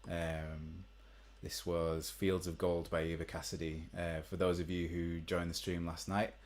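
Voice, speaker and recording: A young man with a deep voice, chatting casually to live-stream viewers between songs, rambling with many ums. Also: flat delivery, a little slow, a little mellow. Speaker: musician hosting a live stream. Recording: faint guitar behind.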